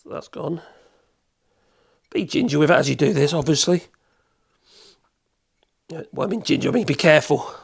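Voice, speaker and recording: A man with a cold, hoarse, chatting in a rambling way as he demonstrates in his workshop. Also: fairly high pitch, expressive, moderate pace, pauses often. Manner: rambling, chatty, self-correcting, chuckles. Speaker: woodworking channel host.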